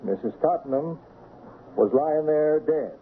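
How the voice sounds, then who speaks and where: Small-town sheriff and father: middle-aged American, homespun, halting, very varied pitch, narrow hissy radio-drama broadcast. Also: lively intonation. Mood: methodical. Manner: halting, homespun, repeats himself. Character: folksy small-town county sheriff, genial, slightly bumbling father. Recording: muffled, noisy old radio broadcast.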